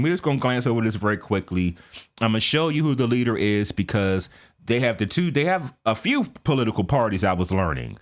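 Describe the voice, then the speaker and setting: An American man hosting a reaction video, talking casually to his viewers with many fillers and restarts and often checking that they follow him. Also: lowish voice. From United States. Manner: chatty, lots of fillers and restarts, asks viewers for agreement. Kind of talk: casual commentary to camera.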